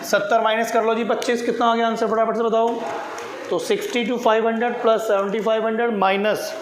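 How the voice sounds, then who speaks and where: Male tutor, high pitch, repetitive question-led board teaching, noisy room recording. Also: little pitch movement, a little fast. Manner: question-and-answer teaching, answers own questions aloud; repeats key figures and phrases. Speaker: accountancy tutor. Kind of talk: classroom lesson at a board. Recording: echoey room with clear noise.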